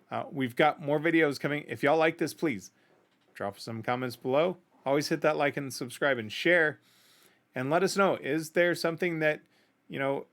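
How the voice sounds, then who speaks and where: A man's voice: mid-range voice, a bit bright. A middle-aged man hosting a music reaction video, talking casually and conversationally, giving opinions and often checking in with the listener. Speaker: host of a music reaction channel.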